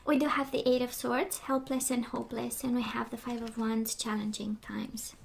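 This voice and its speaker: A woman's voice: on the high side, little pitch movement, normal speed, vocal fry, a little hiss or hum. A woman giving a casual tarot reading as she loses her voice, rambling with many fillers and sometimes dropping to a whisper. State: losing her voice. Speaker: tarot reader.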